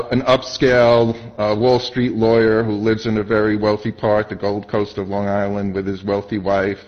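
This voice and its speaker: Man, average pitch, casual interviewer, rambling halting phrasing, admiring fan manner, dull lo-fi recording. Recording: dull lo-fi audio.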